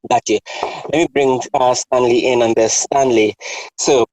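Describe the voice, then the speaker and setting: A man's voice: mid-range voice, steady tone, a little slow, darkish tone, dry recording, rough recording. A man hosting a casual discussion over a remote call. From Kenya. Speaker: host.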